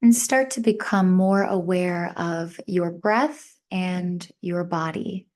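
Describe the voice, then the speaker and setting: Slow-paced woman guiding a meditation. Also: fairly low pitch. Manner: gentle, measured, with long pauses between short phrases. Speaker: meditation instructor. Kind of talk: guided meditation, live class instruction.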